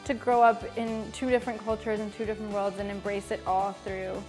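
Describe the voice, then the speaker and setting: A woman's voice: mid-range voice, flat delivery, brisk, a little mellow, faint music behind. A woman from Mexico in her thirties, a bakery founder telling her story in casual interview chat, bubbly and laughing often.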